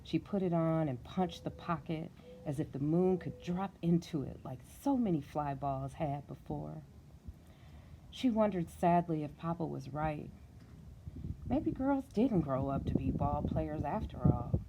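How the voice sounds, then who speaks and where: A middle-aged woman reading a storybook aloud in an encouraging, conversational way, pausing to put questions to a young listener. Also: low voice, animated, mellow, a little hiss or hum, low-quality recording.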